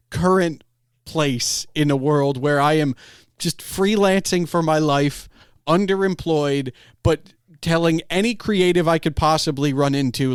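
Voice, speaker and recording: A man chatting casually as a podcast host, telling anecdotes in an animated way and acting out imagined quotes. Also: a little high, clear, resonant tone, no room sound, studio quality.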